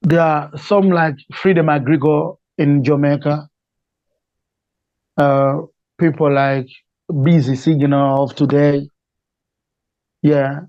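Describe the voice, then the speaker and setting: A man's voice: a little high, fairly even intonation, slow, long pauses, no echo, muffled recording. A man from Malawi with a Malawian accent, answering conversationally as a musician being interviewed. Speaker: interview guest, reggae singer.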